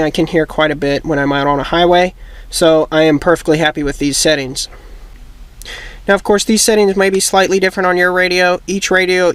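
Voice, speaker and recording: A man speaks in a fairly high-pitched voice as the host of an instructional video. His delivery is casual but methodical, laying out each point step by step.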